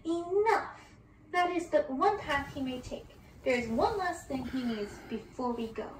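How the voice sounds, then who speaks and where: A cold, angry enchantress from a storybook, played by a woman. She speaks high and solemnly, with menacing command, from a roomy space with a little hiss. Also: lively intonation, measured pace. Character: stern, cold, angry enchantress laying a curse. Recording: distant roomy mic with background hiss.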